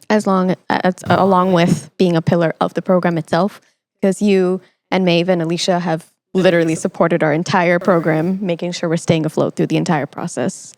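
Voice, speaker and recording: A woman's voice: fairly low pitch, darkish tone, no echo. A young woman hosting a podcast, chatting casually with an encouraging, affirming manner. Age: young adult. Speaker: podcast host. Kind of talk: casual interview chat.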